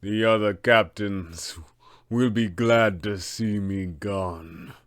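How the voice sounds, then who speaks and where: Guy doing a menacing pirate captain, bossy and brutish, clear voice, dry sound. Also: lowish voice, takes it slowly, no echo, pitched below the performer's own voice. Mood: dying, bitter. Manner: commanding, threatening.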